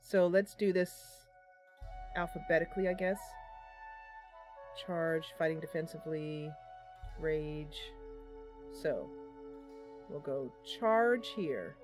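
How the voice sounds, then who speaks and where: A woman doing casual live game commentary as a streamer, chatty and a bit goofy, thinking out loud as she goes. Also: low voice, lively intonation, takes it slowly, pauses often, soft background music. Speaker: streamer and host of a gaming channel.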